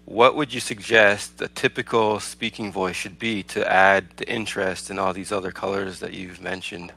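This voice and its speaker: Gravelly, bright-voiced playful male co-host. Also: low-pitched, level tone, moderate pace, poor audio. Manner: playful, asks questions. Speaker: podcast co-host. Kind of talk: casual interview chat.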